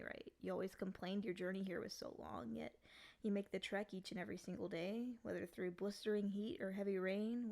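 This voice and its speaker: A lonely demon girl acted by a woman speaks in an intimate ASMR monologue. Her medium-pitched voice has a strong crackly fry and often sinks to a breathy whisper. She sounds tender and possessive, utterly fixated on the one she loves. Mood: wistful, lonely. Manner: soft, doting, often dropping into breathy whispers. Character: lonely, obsessively devoted female yandere demon, once sealed.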